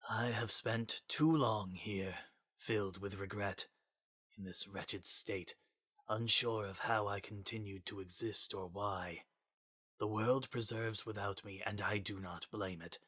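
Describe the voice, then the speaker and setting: A man voicing a regretful, resigned scientist, speaking formally and quietly in measured, grave phrases for a story reading. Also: lowish voice, steady tone. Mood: resigned, sorrowful. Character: solemn, regretful male scientist, formal and resigned, lost to the world.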